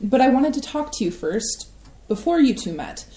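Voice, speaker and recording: A young artist daughter, played by an actress, calm and measured with a touch of hesitance. Her voice sits slightly high and rings in an echoey stage space. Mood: earnest. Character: young adult daughter, an artist, earnest and guarded. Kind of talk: staged play reading.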